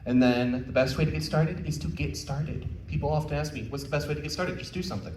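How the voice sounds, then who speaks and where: A man's voice: fairly high pitch, fast, a little mellow. A man speaking as a panelist at a convention, informal and conversational, with frank encouragement. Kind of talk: informal convention talk with audience questions. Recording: roomy, distant mic, faint background noise.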